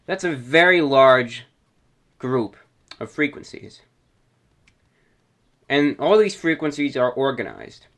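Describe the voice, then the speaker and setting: A man's voice: medium pitch, animated, slow pace, pauses often, a bit bright. A man hosting a hobby show, talking informally to his listeners and explaining things step by step in a didactic way. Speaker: host of a radio hobby show.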